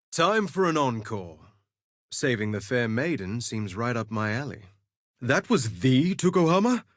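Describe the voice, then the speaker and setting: Cocky, suave duelist with animated swings. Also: male voice, medium pitch, no room sound, clean studio sound. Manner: theatrical, suave, sardonic. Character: cocky, vain, flirtatious male duelist gladiator. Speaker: video game character voice. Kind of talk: scripted game voice lines, theatrical quips.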